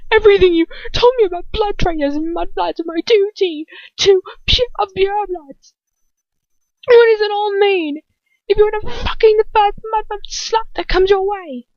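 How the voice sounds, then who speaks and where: Woman playing a shrill, furious young wizard son. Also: moderate pace, no room sound, dull audio. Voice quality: scratchy, strained. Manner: accusing, bursting out in shouts. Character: furious, mocking young wizard son confronting his father.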